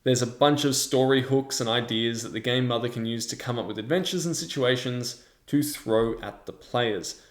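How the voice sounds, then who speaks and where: A man presents a review as the host, explaining things in a measured way with mid-range pitch. His narration sounds semi-scripted, and the room adds a clear echo around his voice. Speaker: host of a tabletop game review channel. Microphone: large microphone in front of him. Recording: echoey, reverberant room.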